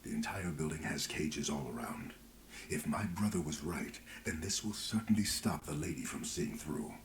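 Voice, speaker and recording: A weary, serious healer in a fantasy game, with an extremely low voice. He speaks with measured urgency in roomy audio with some background noise. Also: animated. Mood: grave, weary. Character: grave, weary male fantasy mage healer. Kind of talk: video game voice acting.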